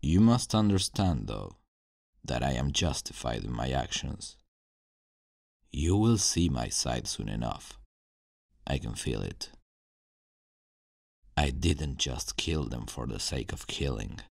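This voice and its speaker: A man playing a brooding, menacing yet regretful villain scientist in a dramatic ASMR roleplay, slow and deliberate with a gravelly voice. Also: expressive, no echo, clean studio sound, heavily acted. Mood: calm, regretful. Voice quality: very deep, gravelly.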